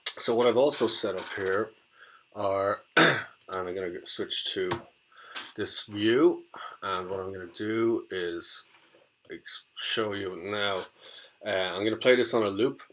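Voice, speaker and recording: A man giving an informal hands-on music software demonstration, thinking aloud in a hesitant way. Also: lowish voice, slow pace, a little hiss or hum.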